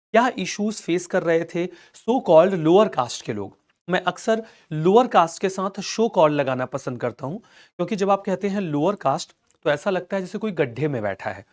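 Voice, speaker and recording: Slightly hoarse Indian male teacher. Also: high voice. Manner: reassuring, calls students "beta", mixes English into Hindi. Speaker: online teacher. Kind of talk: live online history class.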